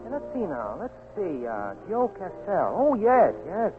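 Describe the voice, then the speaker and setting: An actor playing a brash, wisecracking American newspaper reporter in a 1940s radio drama, with a brisk, cocky manner. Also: male voice, high-pitched, huge pitch swings, exaggerated voice. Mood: brisk, jovial. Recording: muffled 1940s radio broadcast with music.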